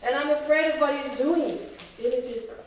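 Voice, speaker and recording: Lady doing a fretful scandalised housekeeper in a radio horror play, high voice, really echoey. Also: normal speed, slight background noise, lightly acted. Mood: worried, horrified. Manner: dramatic, worried, indignant. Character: anxious, fretful housekeeper Madame Maurice, scandalised. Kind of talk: old-time radio horror drama acting. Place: live stage show in a community hall. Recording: echoey community hall, far from mic.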